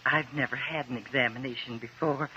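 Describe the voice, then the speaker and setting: An actress playing a hesitant, anxious, fretful patient in a 1950s radio drama. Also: female voice, extremely low pitch, steady tone, brisk, pushed and bright. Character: nervous, anxious female patient. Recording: muffled, noisy old radio recording.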